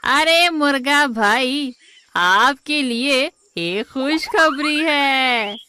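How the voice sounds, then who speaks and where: Woman as sly, bright-voiced cartoon fox lady. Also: high-pitched, slight background noise, heavily acted. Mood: wheedling. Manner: wheedling, scheming, drawn-out words. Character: sly, hungry, smooth-talking female fox. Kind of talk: children's animated moral story acting.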